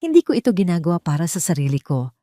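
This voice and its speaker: A woman's voice: lowish voice, very animated, very fast, mellow, dry recording, clean studio sound. A woman voicing a scheming, self-justifying female villain in an audio story, in a resolute inner monologue. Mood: cold, defensive.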